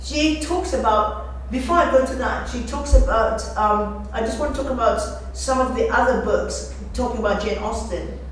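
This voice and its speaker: A woman's voice: fairly high pitch, normal speed. A woman giving an explanatory literary talk, emphatic and repeating phrases for effect. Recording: echoey room, distant mic, faint noise.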